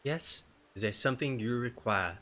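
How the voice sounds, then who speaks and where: A man voicing a formal, solemn noblewoman from a fantasy game in measured, archaic diction. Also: medium pitch, sharp tone, close to an everyday voice.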